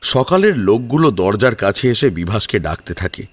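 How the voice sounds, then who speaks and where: A man's voice: lowish voice, big pitch swings, normal speed. A man reading a scripted story as a dramatic narrator. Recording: music behind the voice.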